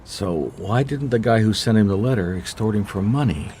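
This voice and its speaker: An actor playing a wry, persistent private detective in a radio mystery, with a dry, conversational, probing way of talking. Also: male voice, lowish voice, expressive, moderate pace, a little mellow, a little hiss or hum, natural voice. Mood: wry, thoughtful. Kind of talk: radio mystery drama, dialogue and narration.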